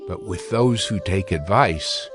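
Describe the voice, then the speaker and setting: Slow-paced man solemnly reading scripture. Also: sits low, soft background music. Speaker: audio Bible reader. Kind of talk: scripted dramatized scripture narration.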